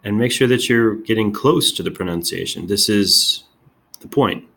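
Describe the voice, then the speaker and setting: Low, creaky-voiced man demonstrating software. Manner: halting, thinking aloud while demonstrating. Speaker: tech video host.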